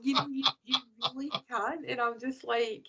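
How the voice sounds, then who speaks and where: A woman's voice: sits high, steady tone, moderate pace, a bit bright, muffled recording. A woman with a Scottish accent, a tarot reader chatting casually and saying like and you know a lot. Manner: chatty, many likes and you knows. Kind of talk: casual chat.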